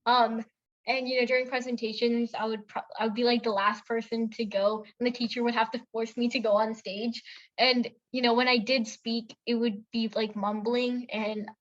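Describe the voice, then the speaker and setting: Young woman co-host from India, bright higher voice, rambling casual storyteller, lo-fi recording. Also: level tone, normal speed. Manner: chatty storytelling with many fillers and self-corrections. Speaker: podcast co-host. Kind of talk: casual chat.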